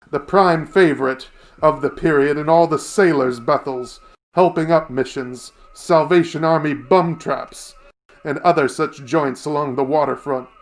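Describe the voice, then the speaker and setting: A man playing a wry, literate storyteller, narrating a radio play in ornate, deliberate phrases. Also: fairly high pitch, natural voice. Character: wry, literate storyteller recalling old Baltimore nightlife.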